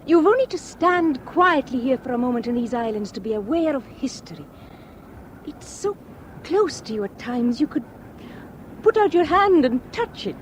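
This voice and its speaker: A woman's voice: high-pitched, very animated, normal speed, slight background noise. An actress playing an enthusiastic young woman from Shetland with a light Shetland Scots accent, a graduate who guides a visitor in a radio drama. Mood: earnest. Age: young adult. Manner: earnest, enthusiastic, self-deprecating. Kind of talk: 1960s radio drama acting.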